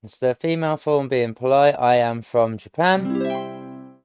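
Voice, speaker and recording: A man's voice: medium pitch, measured pace, darkish tone, clean tone, dry recording, muffled recording, faint music behind. Musing British man working through lessons. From United Kingdom. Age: late 30s. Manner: explains each answer step by step, musing, with frequent hesitations. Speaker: self-taught learner streaming. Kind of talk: thinking aloud while working through lessons.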